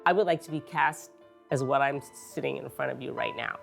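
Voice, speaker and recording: A woman's voice: rumbling low, a little fast, gravelly, soft background music. A middle-aged woman interviewed on a lapel mic, speaking earnestly and emphatically.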